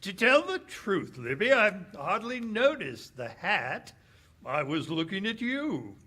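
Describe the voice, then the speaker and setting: A man acts out a 1940s radio man with a bright, forceful tone. His hearty delivery swings widely in pitch and takes its time, in old-time broadcast style. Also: sing-song, slow, exaggerated voice. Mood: flustered, flattering. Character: folksy, enthusiastic 1940s soap company spokesman; bitter, guarded cafe owner. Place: live stage performance at a public library.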